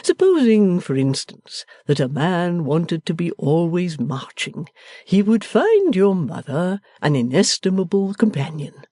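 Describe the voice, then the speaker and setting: A woman reading the part of a timid, fond little father who speaks gently and hesitantly, rambling at times. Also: lowish voice, sing-song, a little slow, no echo, clean studio sound, voice pushed up. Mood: musing.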